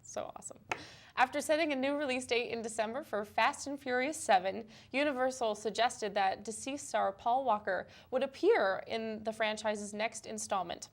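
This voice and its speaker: Lady reading the news, kinda high voice, casual chat between stories. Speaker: news co-anchor.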